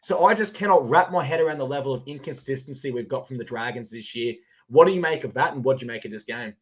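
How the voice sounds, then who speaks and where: A man with an Australian accent hosting a live sports betting podcast, his voice a little husky, recapping games with energy. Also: medium pitch, fast talker. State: slightly husky voice. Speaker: podcast host.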